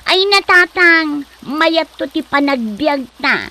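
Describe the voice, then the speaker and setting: A woman's voice: sits high, animated, slow, sharp tone, full character voice. A woman playing a boastful young daughter in an Ilocano comedy drama, protesting and whining with an Ilocano accent. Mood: defensive. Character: boastful, ambitious young daughter defending her boyfriend.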